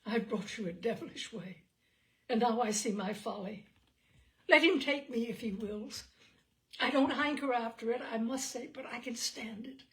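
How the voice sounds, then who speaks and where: A woman's voice: a little high, normal speed, fairly bright tone. A woman voicing a frightened, desperate male farmer who pleads and groans with shaky courage in a story reading. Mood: desperate remorse. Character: terrified, desperate New England farmer, brave through his fear.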